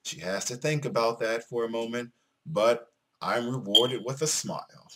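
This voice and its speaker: A man's voice: mid-range voice, normal speed, heavy background noise, close to an everyday voice. A man reading the part of a dry, sardonic teenage schoolboy who narrates his life in a visual novel.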